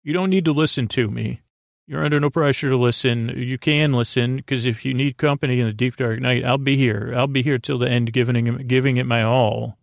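A man hosting a bedtime podcast in a creaky voice, with a soothing, halting, tangent-filled ramble that stumbles over words. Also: medium pitch, steady tone, dry recording. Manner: soothing, lulling, whimsical. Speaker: podcast host. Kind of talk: rambling bedtime monologue to help listeners sleep.

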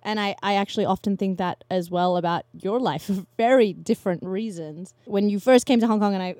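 A young woman in casual conversation, chatty and quick to laugh, often restarting her sentences. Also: medium pitch, darkish tone, dry recording.

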